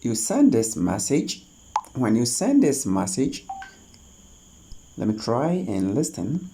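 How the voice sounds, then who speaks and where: A tutorial host talking through phone app steps one at a time, in a halting, instructional way. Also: medium pitch, very animated, mellow, slight background noise, low-quality recording. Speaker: host of a tech tutorial channel. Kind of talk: spoken step-by-step screen tutorial.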